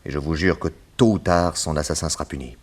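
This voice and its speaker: An actor playing a calm, methodical male detective in a radio serial, reassuring and measured as he explains. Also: a bass voice, breathy edge. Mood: assured, reasoning.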